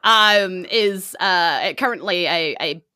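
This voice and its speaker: A woman's voice: fairly low pitch, slow pace, sharp tone, clear, resonant tone, no echo, studio quality. A woman with a New Zealand accent improvising narration as a tabletop game master, informal and conversational.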